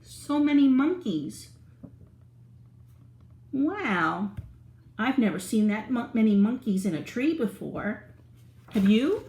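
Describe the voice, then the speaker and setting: A woman reading a picture book aloud to young children, animated and asking them questions. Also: fairly high pitch, slow, long pauses, slight background noise. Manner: animated, asks the listener questions. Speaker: children's storyteller. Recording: roomy echo.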